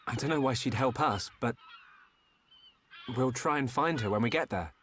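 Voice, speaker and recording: A man's voice: mid-range voice, moderate pace, fairly bright tone, slight background noise, lightly acted. Man playing an earnest, polite boy hero. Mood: earnest doubt. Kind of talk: video game character acting.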